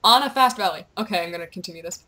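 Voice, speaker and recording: A young woman chatting casually while gaming, excitable and playful, with goofy exclamations and bits of on-screen text read aloud. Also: mid-range voice, rapid-fire. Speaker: gamer, video creator. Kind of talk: casual gameplay chat, reading quest captions aloud.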